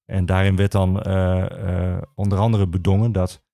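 A man explaining things as a guest in an interview, in a halting manner with many pauses. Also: low-pitched, flat delivery, vocal fry, warm tone, no echo. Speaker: regional archivist, podcast guest.

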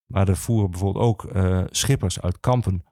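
A man explaining things as a guest in an interview, in a halting manner with many pauses. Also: sits low, mellow, dry recording, studio quality. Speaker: regional archivist, podcast guest.

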